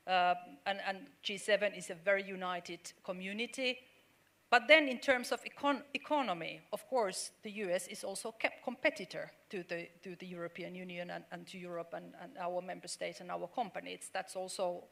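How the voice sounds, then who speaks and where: Bright-voiced woman on a panel. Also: lowish voice, creaky. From Finland. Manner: earnest, halting, with many fillers and restarts. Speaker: panel speaker. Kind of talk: panel discussion.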